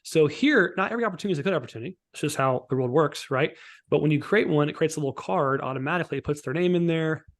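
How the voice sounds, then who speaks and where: A man giving an informal, instructional software walkthrough as a sales trainer on a live call, often checking that listeners follow. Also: fairly high pitch, rapid-fire. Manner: informal, instructional, checks for understanding with tags like "right?" and "okay?". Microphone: online group call.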